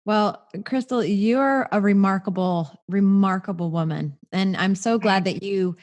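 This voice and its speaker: A woman's voice: mid-range voice, a little slow, a little mellow. A woman hosting a faith-based interview, encouraging and affirming toward her guest. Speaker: podcast host.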